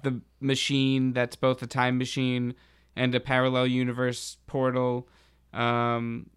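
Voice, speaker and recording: A man chatting casually as a podcast host, with a halting, slangy delivery. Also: mid-range voice, completely flat delivery, clean tone. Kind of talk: casual chat about a TV show.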